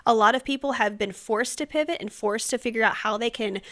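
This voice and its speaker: A woman's voice: on the high side, a bit bright. A woman co-hosting a podcast, speaking earnestly and affirming her partner often. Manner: earnest, affirming, quick to agree. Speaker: podcast co-host. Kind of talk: casual podcast conversation.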